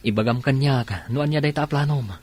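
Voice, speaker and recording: Actor as husky, earnest Ilocano village driver. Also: male voice, medium pitch, fast talker, darkish tone, natural voice. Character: bashful village male driver, earnest and helpful. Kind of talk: Ilocano radio drama acting.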